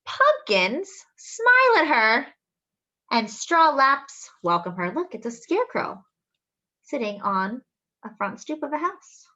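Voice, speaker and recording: A woman hosting story time, reading a picture book aloud to young children in an expressive, sing-song way, with drawn-out phrases and little asides and questions. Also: sits high, muffled recording.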